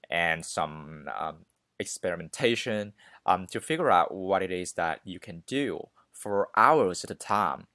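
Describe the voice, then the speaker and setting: A man's voice: deep voice, a bit bright, no room sound, studio quality. A man hosting a podcast, giving informal, conversational advice straight to listeners with emphatic repetition. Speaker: podcast host. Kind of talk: casual advice monologue to listeners.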